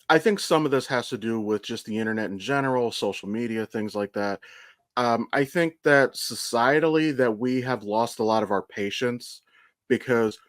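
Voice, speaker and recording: A man talks as a podcast co-host in a medium-pitched voice. He lays out his fan opinions in casual, sweary chat, with very little room sound around him. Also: fairly bright tone, dry recording, studio quality. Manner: sweary, explanatory fan talk. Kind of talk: casual chat, opinionated pop-culture commentary.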